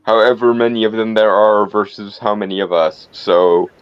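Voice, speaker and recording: A man playing in a roleplaying game, talking things through in a measured, wry way with formal wording. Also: fairly low pitch, steady tone, clear, resonant tone. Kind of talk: casual game discussion. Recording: dull, muffled online voice chat.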